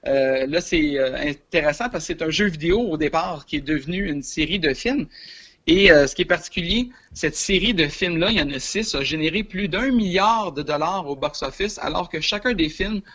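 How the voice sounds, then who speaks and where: Quebec male film columnist, 40s, a bit high, muffled lo-fi sound, halting delivery. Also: fairly bright tone. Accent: Quebec French. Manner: hesitant, many filler sounds, chuckles. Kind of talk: casual radio chat.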